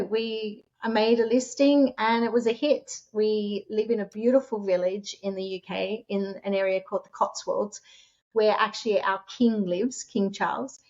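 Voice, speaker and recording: A middle-aged Australian woman telling her story in a relaxed interview, chatty and quick to laugh. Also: fairly high pitch, lo-fi sound. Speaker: guest, short-term rental host and author.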